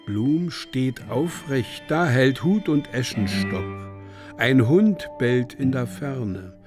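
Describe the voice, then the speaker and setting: A grave narrator of a literary radio drama. He sets out dreamlike stage directions slowly and with measured care, at a middle pitch, over music. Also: animated, darkish tone. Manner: slow, deliberate, measured.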